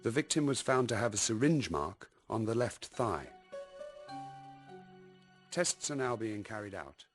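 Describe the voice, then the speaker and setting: A man's voice: on the low side, sing-song, measured pace, long pauses, faint music behind. A man acting in a radio play as a news reporter reading a crime bulletin in a formal, factual manner.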